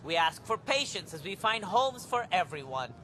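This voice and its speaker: A man's voice: high voice, very animated, moderate pace, sharp tone, a little hiss or hum, heavily acted. An actor voicing a pompous, self-congratulating alien official man giving a public speech in a video game.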